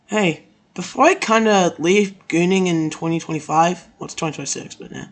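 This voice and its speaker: High-pitched man doing crude parody dubbing. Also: normal speed. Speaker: amateur fandub voice performer. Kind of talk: comedic improvised anime parody dub.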